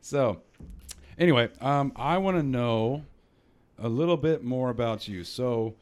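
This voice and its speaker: A man's voice: medium pitch, unhurried, clean tone. A man hosting a casual podcast, talking in a loose, rambling way with frequent restarts. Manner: rambling, laughs often, many restarts. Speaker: podcast host.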